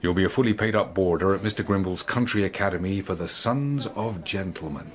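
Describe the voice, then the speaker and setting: Radio drama: actor as blustering male conman dad, low voice, pompous and patronising, faint background noise. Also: brisk, lightly acted. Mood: grandiose. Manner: grandiose, patronising. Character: blustering, pompous conman father in army uniform.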